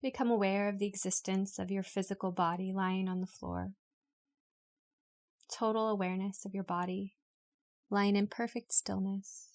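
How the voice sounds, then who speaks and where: Female meditation guide, mid pitch, flat and steady intonation, calm unhurried scripted instruction. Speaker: yoga nidra meditation guide.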